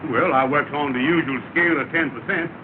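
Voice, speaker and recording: An actor playing a smooth-talking, scheming lodge leader who uses fancy words in an old film comedy. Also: male voice, on the high side, brisk, natural voice. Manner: slick, long-winded, fancy words. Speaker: comic schemer in a 1930 film. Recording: scratchy, tinny, hissy 1930 film sound.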